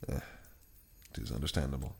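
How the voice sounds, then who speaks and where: A man's voice: rumbling low, gravelly, a little mellow, a little hiss or hum, exaggerated voice. A man voicing a possessive, cunning fae prince in an audio roleplay, speaking in a silky, teasing, menacing way. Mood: gentle. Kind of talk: listener-directed audio roleplay.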